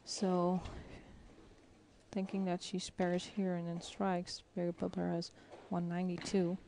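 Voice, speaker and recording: A woman doing live bowling commentary, quiet and measured, breaking down lanes and ball choices. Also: on the low side, completely flat delivery, creaky. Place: bowling arena with crowd. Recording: crowd and noise behind.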